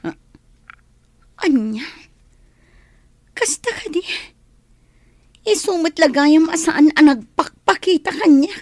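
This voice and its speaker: Actress as wronged young wife in a radio play: high, plaintive, questioning, close dry sound. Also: female voice, big pitch swings, slow, long pauses, a little mellow, dry recording, full character voice. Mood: casual, puzzled. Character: young wife, hurt and protesting against her controlling husband. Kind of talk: Ilocano comedy-drama radio acting.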